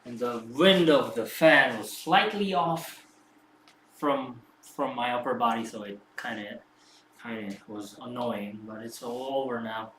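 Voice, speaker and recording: A man who is not a native English speaker, rambling and thinking aloud after a home workout, with many halting restarts. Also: medium pitch, fairly bright tone. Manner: rambling, halting, self-reflective. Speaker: fitness streamer. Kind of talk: casual musing after a workout. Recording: roomy echo, distant mic, faint background hum.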